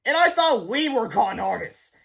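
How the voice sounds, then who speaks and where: A cheeky young schoolboy, played by a man reading a storybook, high in pitch. He talks casually and wisecracks like a born prankster. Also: sing-song, moderate pace, vocal fry, a bit bright, breathy edge, heavily acted. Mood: cheeky, skeptical.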